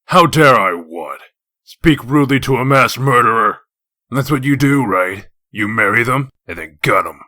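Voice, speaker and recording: A man voicing a gruff, foul-mouthed wolf detective in human form, blunt and sardonic, in a comic dub. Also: medium pitch, lively intonation, moderate pace, bright, forceful tone, dry recording, clean studio sound. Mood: angry, accusing.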